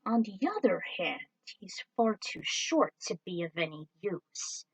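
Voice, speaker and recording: Woman doing a sneering male alien villain lord, haughty, loud, mid-pitched, heard from a distance. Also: measured pace, vocal fry, no echo. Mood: scornful.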